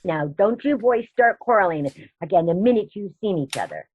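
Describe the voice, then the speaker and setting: A woman playing a sweet, proper elderly aunt in a radio play, genteel and gently bossy. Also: mid-range voice, lively intonation, moderate pace, slightly rough, muffled recording. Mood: chiding. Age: older.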